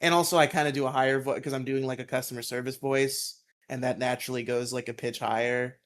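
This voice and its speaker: A man with a fairly high voice, co-hosting a podcast in casual chat, laughing often, on a headset mic. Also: fairly even intonation, quick pace. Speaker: podcast co-host.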